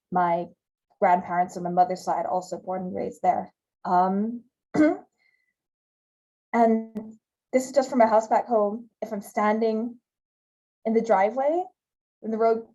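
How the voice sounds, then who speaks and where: A woman's voice: mid-range voice, mellow, dull audio. A woman from Hawaii giving a conversational talk over slides, with fillers and repeated words. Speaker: guest speaker giving a talk.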